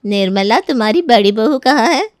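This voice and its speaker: A woman's voice: on the high side, expressive, normal speed, dry recording, clean studio sound, close to an everyday voice. A woman voicing an approving older visiting aunt in a storybook drama, effusive and emphatic. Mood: inquiring.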